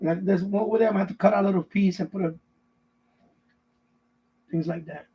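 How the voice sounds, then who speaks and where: A middle-aged man with a husky voice chats casually on a live stream, rambling and repeating himself as he answers viewers. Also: high voice, steady tone, moderate pace, dark tone, a little hiss or hum, poor audio. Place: basement at home.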